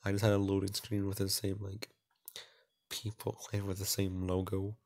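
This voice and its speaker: A man's voice: low voice, monotone, normal speed, a little mellow. A man hosting a casual game playthrough, rambling and stumbling as he reads aloud. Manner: rambling, stumbles over text while reading. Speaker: gaming video host.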